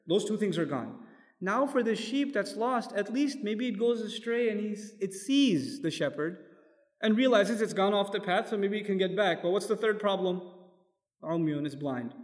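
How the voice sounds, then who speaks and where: A man's voice: on the high side, a little mellow. A man teaching a Quran lesson as a sermon, explaining step by step, quoting Arabic verses and putting questions to his listeners. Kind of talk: lecture-style sermon. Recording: long hall reverb.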